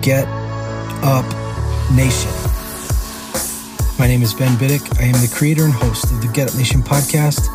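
A man's voice: mid-range voice, steady tone, moderate pace, long pauses, loud music behind the voice. A man hosting a podcast, reading formal introductions and asking interview questions in an earnest manner. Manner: earnest, formal read-out delivery. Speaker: podcast host.

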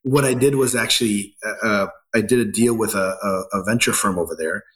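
A middle-aged man from Ecuador, a business founder answering interview questions in a halting way, with many fillers and restarts. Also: on the low side, fairly bright tone.

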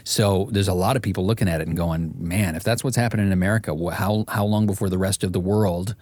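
A man with a lowish voice speaks in a dry-sounding space. He has the measured, polished delivery of someone hosting a podcast or reading his own audiobook. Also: steady tone, a little mellow, no room sound. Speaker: podcast host and author.

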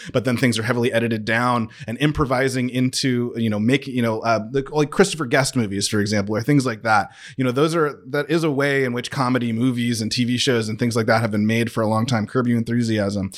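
Male interviewee, mid-range voice, earnest guild representative, conversational and self-interrupting as he explains. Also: medium pitch.

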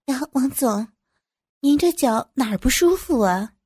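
女人演绎的年轻下属，高声殷勤讨好. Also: female voice, sits high, a little slow, darkish tone, no echo, studio quality, pitched above the performer's own voice. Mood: solicitous. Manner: ingratiating, solicitous. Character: young adult subordinate, eager, fawning and deferential. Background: music.

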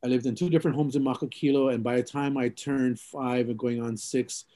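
A man's voice: mid-range voice, fairly even intonation, brisk, warm tone, no echo. Reflective man, dry close sound. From Hawaii. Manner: rambling, reflective, many fillers and restarts. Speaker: interviewee. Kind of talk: oral history interview.

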